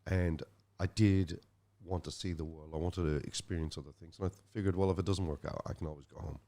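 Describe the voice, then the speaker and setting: A man's voice: sits low, fairly even intonation, fast, dark tone. A middle-aged man from Ireland with a Dublin accent, chatting as a guest musician, rambling and restarting his phrases. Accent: Dublin Irish. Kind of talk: casual interview chat.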